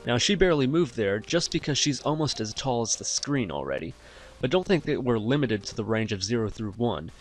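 A man hosting a software tutorial, explaining each step in an instructional way. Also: medium pitch, very animated, fairly quick, soft background music. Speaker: tutorial host. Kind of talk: software tutorial narration over screen recording.

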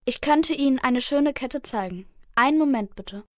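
Young girl as helpful shop lady in an audio play, really high voice. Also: lively intonation, moderate pace, no room sound, studio quality. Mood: polite, helpful. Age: child about 10 to 13.

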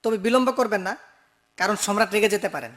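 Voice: mid-range voice, quick pace, fairly bright tone, close to an everyday voice. An actor playing a dutiful, formal royal messenger in a dubbed cartoon, recorded with noise.

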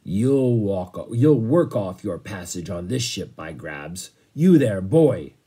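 Gruff, bullying old ship's captain for a storybook, acted by a man, husky and roaring. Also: deep voice, big pitch swings, takes it slowly, warm tone, full character voice. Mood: gruff, angry. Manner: roaring, bullying, salty exclamations. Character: gruff, blustering old sea captain, bellowing orders.

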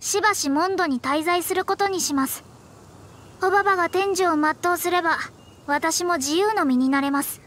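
A woman's voice: very high voice, normal speed, fairly bright tone, a little hiss or hum. A woman playing a proud, easily flustered young female astrologer in a Japanese anime game, speaking formally and theatrically. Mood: resolved, hesitant. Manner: formal polite speech, theatrical. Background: background music.

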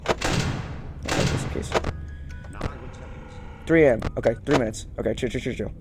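A man's voice: medium pitch, moderate pace, music in the background. A young man giving casual game commentary, nervously muttering and repeating his words over and over. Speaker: gaming video host. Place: indoor room at home.

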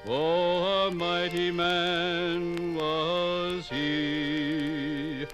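A man sings a folk ballad for a 1950s radio program. His voice is high and he takes the words very slowly. Music accompanies him clearly throughout. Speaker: ballad singer. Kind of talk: slow sung folk ballad.

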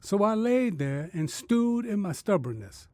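A man's voice: high voice, very animated, unhurried, dark tone, dry recording. A man playing a humbled, earnest farmer telling his story in folksy rural phrasing in a radio drama. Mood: moved, earnest.

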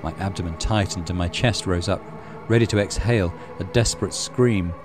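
A man's voice: deep voice, normal speed, over music. A man reading a horror visual novel aloud as a dramatic narrator for a let's play.

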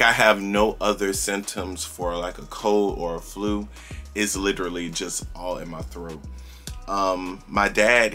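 Sassy young guy vlogging, voice kinda strained and low, small room, faint music behind. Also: bright, forceful tone. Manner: chatty, sassy, addresses viewers as girl and y'all. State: strained voice, losing it. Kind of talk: casual vlog chat to camera.

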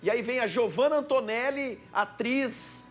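A man's voice: extremely high pitch, a little slow, fairly bright tone, faint music behind. A man in his forties hosting a live TV studio interview, enthusiastically hyping the invited speakers. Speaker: TV host. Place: TV news studio.

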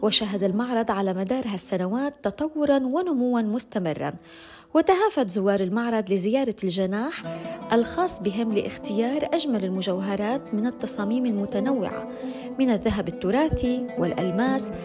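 Female Levantine Arabic presenter, fairly high voice, fast promotional narration over music. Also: animated. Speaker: presenter and interviewer. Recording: music behind the voice. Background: background music.

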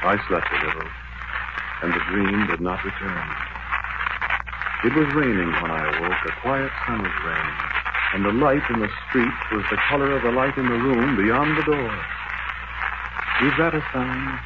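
An actor playing a lonely man haunted by a recurring dream of a lost love, telling it in a hushed, brooding voice in a 1940s radio drama. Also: lowish voice, expressive, moderate pace, close to an everyday voice. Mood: anxious. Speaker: lead actor and narrator. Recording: dull 1940s radio broadcast, heavy hiss.

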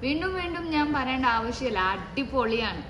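A woman's voice: high-pitched, lively intonation, brisk, a little hiss or hum. A woman presenting a restaurant on a food vlog in casual chat, dropping English words in. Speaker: food vlogger. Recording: echoey, distant mic with loud music.

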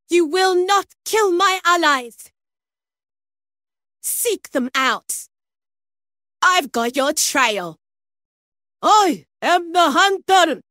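Fierce female video game fighter, performed by a woman: commanding taunts, bright forceful tone, dry sound. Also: extremely high pitch, dry recording, exaggerated voice. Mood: menacing. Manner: theatrical, menacing, commanding.

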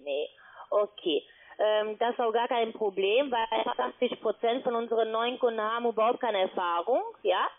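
A woman phone scammer posing as an advisor, speaking halting German and repeating the customer's name. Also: fairly high pitch. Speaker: scam call-centre advisor. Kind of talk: phone sales pitch. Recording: tinny phone line.

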